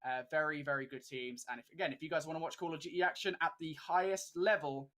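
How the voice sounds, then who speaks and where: Chatty caster, slightly high voice. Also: male voice, fairly even intonation, quick pace, fairly bright tone. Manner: chatty, upbeat, many "uh" fillers. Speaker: esports caster. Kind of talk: esports commentary.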